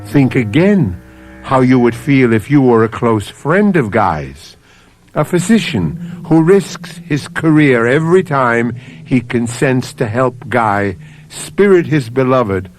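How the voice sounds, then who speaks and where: Slow, suspenseful male mystery host. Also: mid-range voice, big pitch swings, slight background noise. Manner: deliberate, suspenseful, addresses the listener. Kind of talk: scripted dramatic narration. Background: music.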